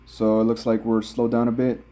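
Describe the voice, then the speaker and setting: An American man, his accent slightly tinged Filipino, giving relaxed, rambling vlog commentary from inside a car while driving mountain roads. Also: mid-range voice, normal speed. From United States. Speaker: vlogger. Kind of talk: casual driving vlog narration.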